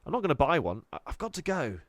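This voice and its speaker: A shy young girl, put on by a man reading aloud. She speaks softly and haltingly, stammering and restarting. Her voice is raised a touch and stays hushed. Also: fairly high pitch, huge pitch swings, dry recording, pitched above the performer's own voice. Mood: timid. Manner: hesitant, stammering.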